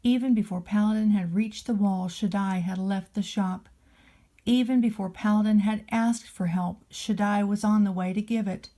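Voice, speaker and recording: Mellow woman reading a children's storybook. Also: medium pitch, steady tone. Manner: gentle, deliberate storytelling for a child. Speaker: storybook reader, nanny.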